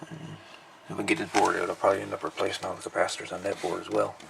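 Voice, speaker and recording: A man giving a casual, unhurried, folksy hands-on repair narration, thinking aloud as he goes. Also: low voice, flat delivery, gravelly, heavy background noise. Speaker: hobbyist radio repairer presenting a video.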